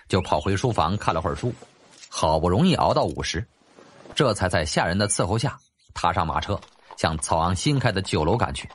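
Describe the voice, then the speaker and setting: 一位男演播者以戏剧化的方式讲述历史小说，是照稿演播的有声书旁白。. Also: male voice, lowish voice, husky. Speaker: audiobook narrator. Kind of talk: dramatic scripted narration of a historical novel.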